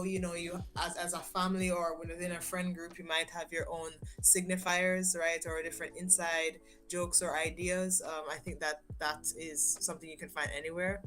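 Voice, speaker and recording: A woman chatting on a stream as co-host, telling stories in an animated way. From Jamaica. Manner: animated storytelling, jumps high when quoting. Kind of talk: casual chat.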